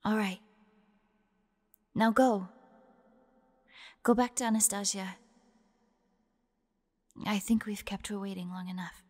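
A woman playing a tender, protective big sister spirit in an audio roleplay, speaking softly with a ghostly reverb. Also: medium pitch, steady tone, takes it slowly, long pauses. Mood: loving farewell. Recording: long reverb on the voice.

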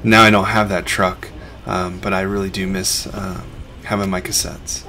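Middle-aged guy with a gravelly low voice, chill vlog voice-over, just chatting along. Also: flat delivery, normal speed, background noise. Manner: laid-back, conversational, explains as he goes. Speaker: reseller vlog host. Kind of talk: casual voice-over narration.

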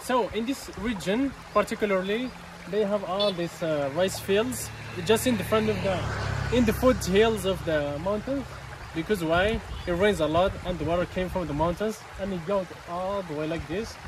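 Male vlogger, 30s, high voice, chatty, selfie-cam outdoors, noisy background with running water. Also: moderate pace. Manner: chatty, addresses viewers as guys. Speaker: travel vlogger. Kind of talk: casual travel vlog. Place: outdoors by a waterfall and rice fields. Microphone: handheld camera at arm's length.

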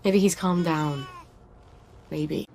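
Young English mother, game drama: anxious, sharp-tongued, protective, faint noise behind her. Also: deep voice, takes it slowly, gravelly, exaggerated voice. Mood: anxious resolve. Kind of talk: video game drama acting.

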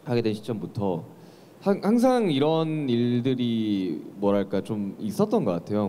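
A young man speaks into a handheld microphone in a large press room, thoughtful and hesitant, filling gaps with soft fillers. His voice is low-set and very mellow. A faint hiss sits under the recording. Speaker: singer at press conference. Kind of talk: press conference remarks. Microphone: handheld mic.

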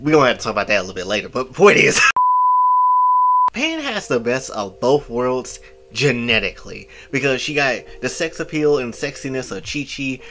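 A man in his early twenties hosting a casual anime art commentary, rambling with repeated filler phrases and sudden loud outbursts. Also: medium pitch. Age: 20s. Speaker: channel host.

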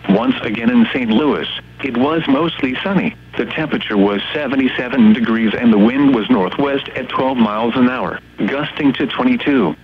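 Robot-sounding guy reading the weather forecast on radio, crisp formal delivery, bit of hum and static. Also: medium pitch, animated, muffled recording. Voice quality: synthetic text-to-speech voice. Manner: measured, formal, impersonal reading. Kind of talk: scripted weather forecast and observations.

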